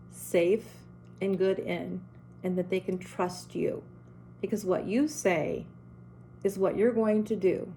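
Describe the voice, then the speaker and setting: Slow, strong-voiced middle-aged woman. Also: medium pitch, dark tone, a little hiss or hum. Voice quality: strong, carrying voice. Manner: earnest, deliberate, direct. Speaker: school principal. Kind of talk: advice talk to parents and teachers.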